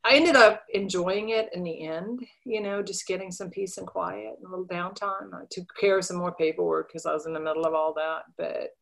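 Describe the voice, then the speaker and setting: A middle-aged woman, a podcast guest, chatting casually and telling animated stories with quoted voices. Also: fairly low pitch, fairly quick, gravelly, few pauses, rough recording. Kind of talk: casual interview chat.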